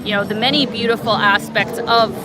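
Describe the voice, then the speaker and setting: A woman's voice: a little high, fairly even intonation, brisk, rough recording. A young woman from Canada, chatting casually with viewers on a livestream and rambling with lots of fillers. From Canada, born in Russia. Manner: rambling, frequent fillers, thinking aloud. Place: outdoors at a city street march. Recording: outdoor livestream over loud music. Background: loud music from the march.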